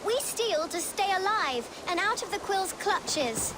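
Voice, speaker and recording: A very high, childlike English street girl, cheeky and defiant. She talks in sassy slang like a game character, with clear outdoor ambience behind her. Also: expressive, measured pace, background noise. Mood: scheming. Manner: sassy, colourful slangy banter. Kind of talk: video game cutscene acting.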